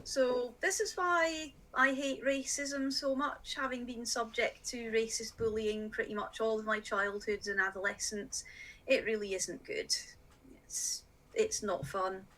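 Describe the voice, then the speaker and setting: Bright, earnest middle-aged woman. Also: on the high side, fairly even intonation, unhurried, rough recording. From Scotland, raised in northeast England. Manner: earnest, emphatic, plain-spoken. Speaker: magazine editor, podcast guest. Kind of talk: earnest interview talk.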